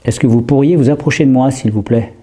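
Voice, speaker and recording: A man with a heavy cold, sniffling, quietly calling out to spirits in an empty house and often trailing off and starting again. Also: medium pitch, fast. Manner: hushed, hesitant, trailing off and restarting. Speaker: paranormal investigator. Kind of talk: live ghost-hunting vlog, calling out to spirits. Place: empty old house at night.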